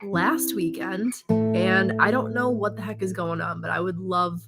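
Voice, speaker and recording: Hoarse chatty woman on a live stream. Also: medium pitch, fairly even intonation, unhurried, loud music behind the voice. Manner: chatty, laughing often, many fillers. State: hoarse, losing her voice. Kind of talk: casual live stream chat.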